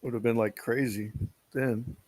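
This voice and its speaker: A man's voice: medium pitch, steady tone, a little slow, a little mellow, dry recording. A man teasing and joking with others in a casual voice chat.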